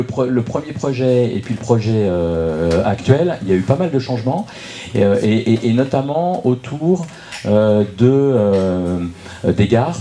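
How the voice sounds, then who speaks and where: A man giving an unscripted explanatory presentation at a public meeting, speaking haltingly and often repeating words as he searches for phrasing. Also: lowish voice, dark tone. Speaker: local political speaker introducing a debate.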